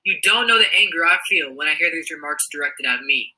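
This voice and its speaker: A teenage boy performs spoken word poetry, earnest and indignant. His voice is very bright and sharp-edged. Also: on the high side, animated, fairly quick, rough recording. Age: teens. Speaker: student poet.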